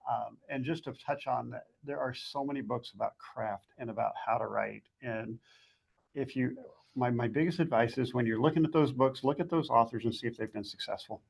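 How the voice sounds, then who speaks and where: The husky, heavily creaking man you would hear as guest author at a writers' workshop, laying out advice plainly. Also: medium pitch, brisk. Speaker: guest fiction author giving writing advice. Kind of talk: conversational advice talk.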